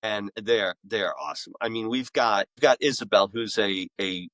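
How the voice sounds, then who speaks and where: A middle-aged man chatting as an interview guest, enthusiastic and emphatic, pausing and repeating words for effect. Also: lowish voice, fairly bright tone, no room sound. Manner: enthusiastic, emphatic storyteller with dramatic pauses and repetition. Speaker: author and voice-acting school director, podcast guest. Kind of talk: casual, animated interview chat.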